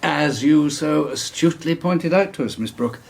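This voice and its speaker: An actor playing a brisk, commanding Victorian police inspector in a radio drama, dry in manner. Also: male voice, on the high side, gravelly, a little hiss or hum. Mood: measured.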